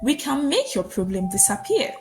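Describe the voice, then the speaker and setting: A woman's voice: sits high, very animated, normal speed, music in the background. A woman voicing menacing male criminals in a folktale, scheming and threatening.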